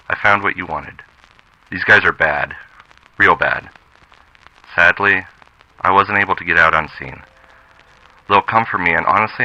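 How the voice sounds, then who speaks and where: An actor playing a doomed small-time thief in a classic radio drama, measured, streetwise and earnest, remorseful yet resolute. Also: male voice, deep voice, sharp tone, dull audio, exaggerated voice. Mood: grim, resigned.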